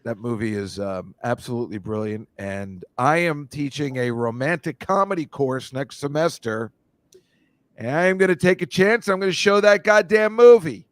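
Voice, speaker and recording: A man's voice: mid-range voice, moderate pace, no echo. A man, a comedian and film teacher, rambling in casual podcast chat and slipping into movie bits. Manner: rambling, slips into movie impressions.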